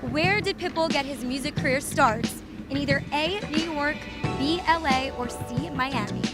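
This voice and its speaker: High-voiced woman presenting a street quiz. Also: normal speed. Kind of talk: game show street quizzing. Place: outdoors on a busy city street. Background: loud music.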